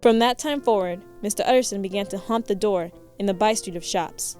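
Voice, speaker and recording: A woman's voice: mid-range voice, fairly quick, a little mellow, soft background music. A woman reading literary narration for a radio play in a formal storytelling manner.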